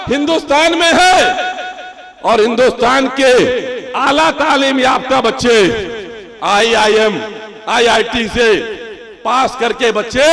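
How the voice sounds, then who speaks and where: A man giving a fiery political speech at a rally, full of rhetorical questions and bursts of shouting. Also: very high-pitched.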